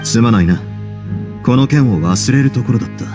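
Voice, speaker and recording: An actor playing a composed, wry prison duke in a Japanese game, measured and courteous. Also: male voice, mid-range voice, animated, over loud music, close to an everyday voice. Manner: measured, wry, self-assured.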